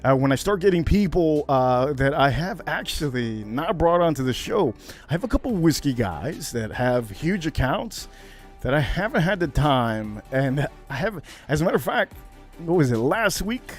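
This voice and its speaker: A man's voice: on the high side, very animated, moderate pace, soft background music. A man hosting a casual livestream, rambling with lots of fillers and greeting viewers by name. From United States. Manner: rambling, many fillers, reads out viewer names. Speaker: livestream host.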